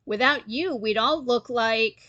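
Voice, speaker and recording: A woman's voice: on the high side, bright, forceful tone, no room sound, dull audio. A woman voicing a pompous, scornful male town mayor who makes declamatory speeches in a children's story. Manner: declamatory, pausing for effect.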